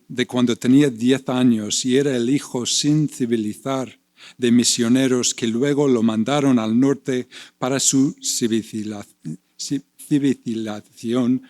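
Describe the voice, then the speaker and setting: A slow-speaking man reading aloud and reflecting. A Spanish speaker with a relaxed, unhurried delivery, often repeating words as he searches for them. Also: mid-range voice. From United States. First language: English. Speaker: panelist and poet. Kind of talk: panel discussion and poetry reading.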